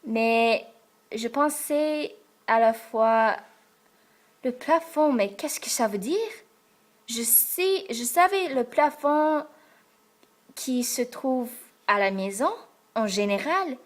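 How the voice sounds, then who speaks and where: A young American woman from Texas, a French learner speaking to the camera in a careful, hesitant way with many pauses and fillers. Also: on the high side, animated. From Texas, United States. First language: English. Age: young adult. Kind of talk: casual talk to camera. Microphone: camera in front of her.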